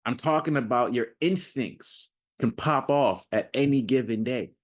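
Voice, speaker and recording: A man's voice: mid-range voice, measured pace. A man giving an unscripted motivational monologue as a self-improvement coach, talking in an emphatic, repetitive, slangy and casual way.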